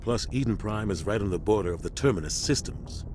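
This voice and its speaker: An actor playing a commanding middle-aged starship captain in a video game, with a measured, authoritative military delivery. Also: male voice, lowish voice, expressive, noisy background, lightly acted. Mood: earnest, explaining. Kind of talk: video game dialogue acting.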